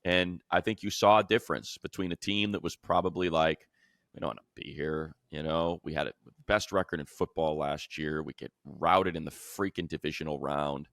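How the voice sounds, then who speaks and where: An American man chatting casually about sports as a co-host, telling slangy, animated stories. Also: extremely low pitch, moderate pace, gravelly, dry recording, clean studio sound. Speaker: sports radio play-by-play announcer and podcast co-host.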